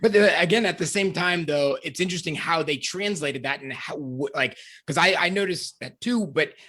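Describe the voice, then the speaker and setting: A man's voice: on the high side, moderate pace, pushed and bright. A man talking enthusiastically and in a rambling way as a panelist in a podcast discussion.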